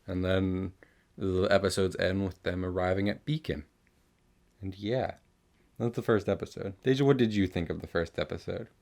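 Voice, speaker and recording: Low-voiced young man chatting casually. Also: steady tone, normal speed, creaky, a little mellow. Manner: rambling, frequent swearing. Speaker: podcast host. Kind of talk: casual chatty recap of an animated series.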